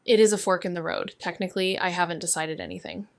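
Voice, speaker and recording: A woman's voice: on the low side, steady tone, fast, creaky, dry recording. An actress playing a wry, guarded young woman, a former med student, in a naturalistic audio drama with dry, understated delivery. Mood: dry, practical.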